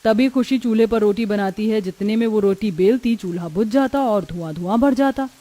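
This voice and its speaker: A woman's voice: medium pitch, normal speed, dark tone, no echo. A woman storyteller delivering scripted narration for a moral tale.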